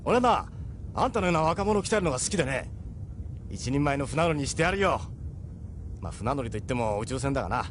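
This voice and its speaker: A man's voice: mid-range voice, animated, quick pace, pauses often, a little hiss or hum, lightly acted. A man voicing a seasoned, middle-aged ship captain in an audio drama, persuasive, frank and worldly. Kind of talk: Japanese audio drama acting.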